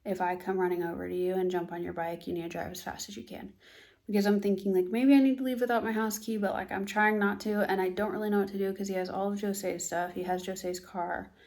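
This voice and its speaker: A woman's voice: medium pitch, fast. Young American woman telling a story. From United States. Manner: animated storytelling, acts out both sides of a dialogue. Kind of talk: storytime vlog.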